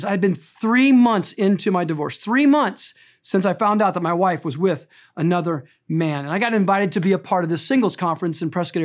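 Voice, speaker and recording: Earnest pastor in his fifties, middle pitch. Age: 50s. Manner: earnest storytelling, slows down for emphasis. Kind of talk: sermon.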